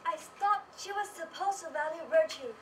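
A high-voiced teenage young woman gives a rehearsed student presentation with emphatic delivery. She sounds distant, in an echoey room with faint noise. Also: normal speed. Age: teens. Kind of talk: scripted stage presentation. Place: small presentation stage indoors. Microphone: far camera.

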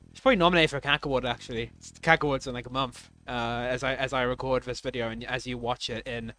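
Bright-voiced rambling young man. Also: mid-range voice, steady tone, fast. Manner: rambling, self-deprecating, many fillers and restarts. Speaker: level designer commenting on his own maps. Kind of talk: casual gameplay commentary.

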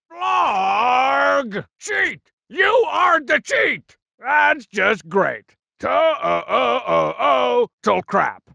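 Short-tempered masked male cartoon villain for a game: very gravelly, bright and forceful, juvenile, boastful and slangy. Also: extremely high pitch, dry recording, full character voice. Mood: outraged. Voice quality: gravelly, raspy. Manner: boastful, mocking, slangy, exaggerated delivery. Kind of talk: video game character barks.

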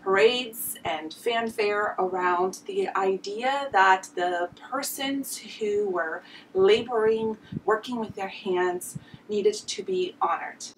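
A woman's voice: mid-range voice, slow, distant mic, a little hiss or hum, low-quality recording. A woman in her thirties from Romania with a Romanian accent, talking earnestly to the camera in a reflective vlog and often restarting her phrases. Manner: earnest and gesturing, with many restarts. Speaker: vlogger.

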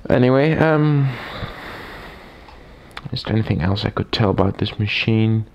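Raspy-voiced man with a cold. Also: fairly low pitch, measured pace, highs cut off, a little hiss or hum. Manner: rambling, with frequent fillers and restarts. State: recovering from a cold. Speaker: typewriter collector reviewing a machine. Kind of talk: casual hands-on review. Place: small room at a desk, indoors. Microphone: camera mic, speaker off-camera.

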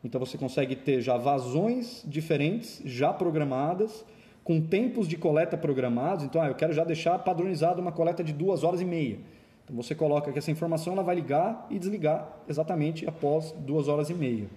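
A man's voice: on the high side, brisk, mellow. A man with a Brazilian accent gives an informal, step-by-step equipment demonstration in an explanatory way. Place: indoor office or lab.

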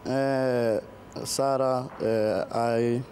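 A middle-aged South African man, a pastor, answering interview questions slowly with many fillers and restarts, recorded in a TV studio on a lapel mic. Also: medium pitch, steady tone, mellow, a little hiss or hum.

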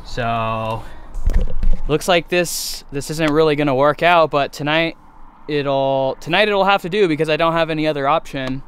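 A man's voice: on the high side, moderate pace, a bit bright, slight background noise. A young man talking casually and cheerfully to the camera while camping outdoors in snowy mountains. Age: young adult. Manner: chatty and upbeat, talks to the camera. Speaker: vlogger. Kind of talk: casual vlog narration.

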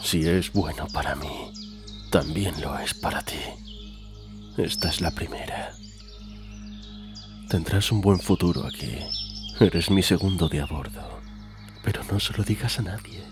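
A man's voice: sits low, measured pace, long pauses, over music. A man with a Spain accent voicing a creepy, predatory gumball-machine boss in a parody cartoon, insinuating and unctuous. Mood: creepy coaxing. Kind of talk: adult parody cartoon fandub.

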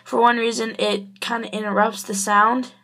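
A woman giving a casual, rambling home review in a halting, offhand manner. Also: a little high, flat delivery, sharp tone. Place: small bedroom at home, indoors. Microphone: handheld camera or phone.

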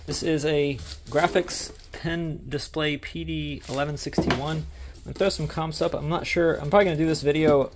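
A man's voice: a little high, steady tone, normal speed, background noise. A man, vlogging in a storage unit and thinking aloud with lots of fillers and restarts as he sorts through items. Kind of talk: casual vlog narration while sorting items. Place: indoor self-storage unit.

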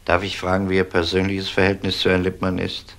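Low-voiced, composed police detective. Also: male voice, completely flat delivery, fairly quick, fairly bright tone. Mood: probing. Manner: methodical, polite questioning. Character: male police detective, composed, probing, courteous. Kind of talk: German crime radio play acting.

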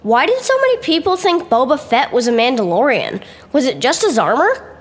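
An actor playing an eager young student at a space academy, speaking to a professor. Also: male voice, very high-pitched, sing-song, a little fast, fairly bright tone, heavily acted. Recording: long hall-like reverb.

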